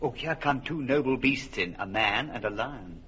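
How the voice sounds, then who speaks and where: A man's voice: mid-range voice, expressive, normal speed, sharp tone. An actor playing a wry nobleman who comments on a play in a classic radio drama. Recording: dull broadcast in a reverberant hall.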